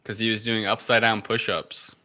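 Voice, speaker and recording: A man's voice: on the low side, flat delivery, normal speed, sharp tone. A man talking casually as a panelist in a film review discussion. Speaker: podcast panelist.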